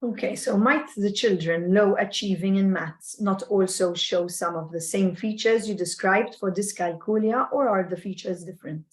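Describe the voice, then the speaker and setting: A woman moderating a webinar on a video call, politely reading out audience questions in a measured way. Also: medium pitch, low-quality recording.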